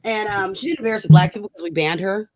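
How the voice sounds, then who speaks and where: A woman's voice: mid-range voice, quick pace, a crowd in the background. A woman co-host ranting casually about politics, scornful and emphatic, swearing freely. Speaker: talk show co-host.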